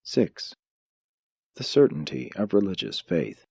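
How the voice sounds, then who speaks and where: A man narrates an audiobook in a low voice with a husky edge. His delivery is formal and measured, yet the intonation moves widely as he reads scripted prose. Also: sing-song, a little mellow, no room sound. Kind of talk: scripted reading of religious philosophy.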